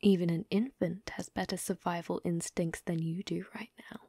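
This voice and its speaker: A woman playing a young, possessive and sadistic yandere captor in an ASMR roleplay, taunting in a mockingly sweet, breathy, cooing way. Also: on the low side, steady tone, normal speed, dark tone, no room sound, studio quality. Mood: cold threatening. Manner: taunting, teasing, cooing, breathy drops to whisper.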